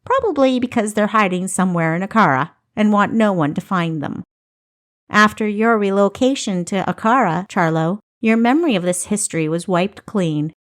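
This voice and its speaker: A woman voicing an animated female historian who retells ancient legends with emphatic storytelling in a fantasy audiobook. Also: medium pitch, normal speed, close to an everyday voice. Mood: knowing, storytelling.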